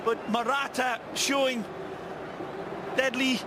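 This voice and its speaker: A man commentating on a football match, piling on colorful wisecracks and homespun metaphors. His voice sits very high and his delivery is slow and drawn out. Loud noise runs under the whole recording. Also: level tone. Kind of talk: sports commentary.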